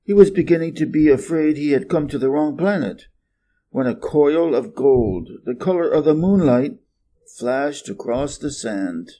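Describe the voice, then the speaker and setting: An American man from Wisconsin narrating a storybook in a measured, deliberate manner. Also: a little high, expressive, mellow. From Wisconsin, United States. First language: English. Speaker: narrator of an English reading channel.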